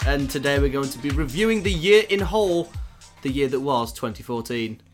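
A man with a cold hosting a casual film podcast, sounding hoarse and chatting with quick asides and restarts. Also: mid-range voice, lively intonation, faint music behind. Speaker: podcast host.

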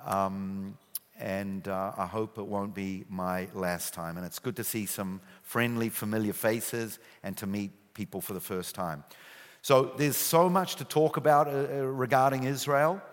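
A man's voice: fairly low pitch, a little slow. New Zealand man speaking in a church. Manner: jokey, relaxed storytelling with frequent fillers. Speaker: guest speaker. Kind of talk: church talk. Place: church hall. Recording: reverberant church hall.

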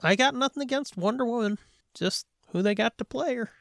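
A man's voice: very high-pitched, a little fast, creaky, a bit bright, no echo, studio quality. A man co-hosting a podcast, talking casually about films and shows in a blunt, sweary way. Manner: blunt, profane. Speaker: podcast co-host.

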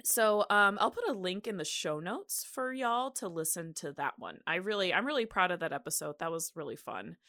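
A woman, probably in her thirties, hosting a podcast in a casual, chatty, animated and giggly way. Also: lowish voice, moderate pace, few pauses, dry recording, clean studio sound. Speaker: podcast host.

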